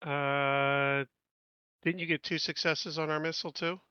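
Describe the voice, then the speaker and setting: A man's voice: fairly high pitch, little pitch movement, measured pace, smooth, dry recording, highs cut off. A man chatting casually about tactics as a player in a tabletop game.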